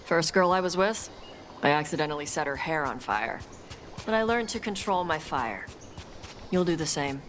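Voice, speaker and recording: Game role: fire-breathing horned fighter, low register, matter-of-fact bluntness, clearly noisy backdrop. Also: female voice, lively intonation, moderate pace, a bit bright. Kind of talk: video game voice acting.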